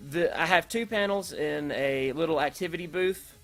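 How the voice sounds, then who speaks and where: A man's voice: on the high side, moderate pace, a bit bright. A man making casual, unscripted announcements as host, rambling and hesitant, often rephrasing himself. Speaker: host of an online creative group's announcement video. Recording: music playing behind the voice. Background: electronic dance remix music.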